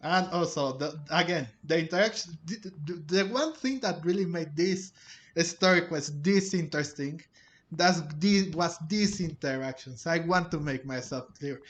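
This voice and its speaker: High-pitched man commenting casually on gameplay. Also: normal speed. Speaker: gaming host. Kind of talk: casual game playthrough commentary. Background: music.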